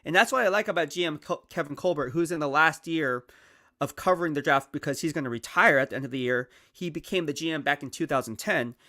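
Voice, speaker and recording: A man's voice: on the high side, fast. A man hosting his own football analysis show, talking casually and energetically, often checking in with "right?", while losing his voice. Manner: energetic, conversational, peppered with "right?" and "all right?". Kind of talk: casual sports commentary monologue.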